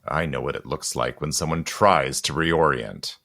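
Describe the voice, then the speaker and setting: An actor playing a commanding gentleman suitor in a period audio drama, formal and clipped in manner, suspicious and grieving. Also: very deep voice, moderate pace, gravelly, exaggerated voice. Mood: suspicious, menacing.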